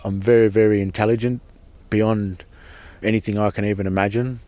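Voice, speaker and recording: A man's voice: lowish voice, flat delivery, fairly quick, mellow. A man giving an earnest, impassioned personal account as a protester, often repeating and restarting his words. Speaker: protester at a sacred site camp.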